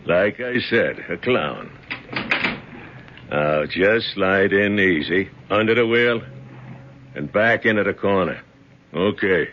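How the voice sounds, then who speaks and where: Casting: unhurried, low-voiced mob henchman, mocking and menacing, muffled old radio drama. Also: expressive. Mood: taunting threat. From Naples, Italy. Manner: slow, taunting tough-guy talk. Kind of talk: 1970s radio drama acting. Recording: muffled, noisy 1970s radio broadcast.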